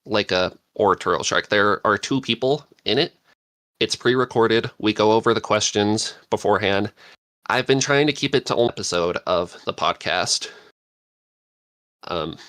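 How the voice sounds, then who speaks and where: A man chatting casually as a podcast panelist, excitable and self-deprecating in manner. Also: on the low side, no room sound.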